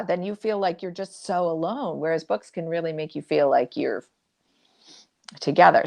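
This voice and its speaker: A woman's voice: low voice, gravelly. A woman moderating a book event, asking questions in a conversational interview style.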